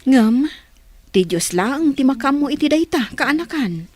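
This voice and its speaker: A woman's voice: high-pitched, lively intonation, measured pace, a little mellow, no room sound. A woman playing a caring, devout middle-aged aunt in an Ilocano radio drama. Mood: reassuring, devout.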